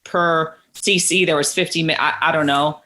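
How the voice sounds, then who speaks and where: A candid woman guest with a naturally low voice talks in loose, rambling bursts, full of likes and restarts. Her tone is bright and forward, and she comes through a home video-call mic. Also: fairly even intonation, normal speed. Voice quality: naturally deeper voice. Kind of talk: candid casual interview. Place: living room at home.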